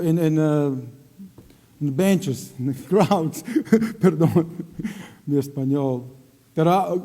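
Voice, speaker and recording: A middle-aged Croatian man, a missionary, speaking in halting non-native Spanish, searching for words and slipping into English at times. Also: on the high side, warm tone, in a large hall. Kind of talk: church greeting and testimony. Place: church sanctuary.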